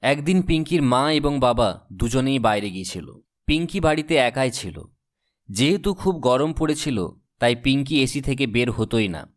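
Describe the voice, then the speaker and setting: A man reading scripted storybook narration as the narrator of a cartoon tale. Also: medium pitch.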